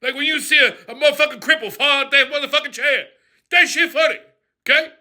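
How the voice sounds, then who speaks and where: A man playing a profane, loudmouthed character who rants and shouts in a comedy bit. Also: very high voice, quick pace, voice pushed up, heavily acted. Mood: ranting. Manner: profane ranting, shouting, mocking. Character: foul-mouthed loudmouth man named Crazy Rufus.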